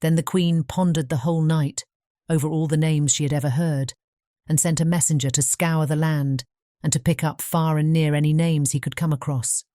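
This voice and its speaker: A woman's voice: sits low, fairly even intonation, normal speed, dark tone, dry recording, clean studio sound. A woman with a British English accent reading scripted fairy tale narration as an audiobook narrator.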